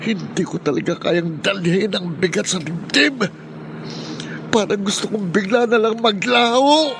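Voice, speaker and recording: A man's voice: high-pitched, a little slow, creaky, background noise. A man playing a bitter, wounded young adult son with an accusatory, melodramatic delivery in a radio drama. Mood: despairing.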